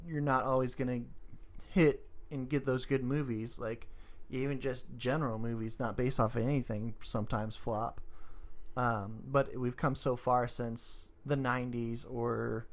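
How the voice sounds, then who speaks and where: A man with a mid-range voice co-hosts a casual film podcast. His delivery is conversational and agreeable, like a friend talking movies across the table. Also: medium pitch. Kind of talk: casual chat about movies.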